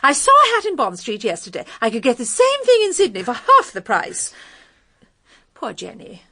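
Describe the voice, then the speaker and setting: A woman's voice: high voice, huge pitch swings, normal speed, sharp tone, heavily acted. An actress playing a chatty, gossipy married woman in a radio mystery, airy, rambling and breezily opinionated. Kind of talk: British radio mystery drama.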